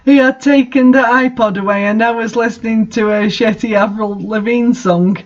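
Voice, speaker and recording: High-voiced man, dull lo-fi recording. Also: fairly even intonation, moderate pace. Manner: mocking, exasperated, sweary asides. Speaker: host reading bad fan fiction aloud. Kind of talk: dramatic reading with amused, incredulous commentary.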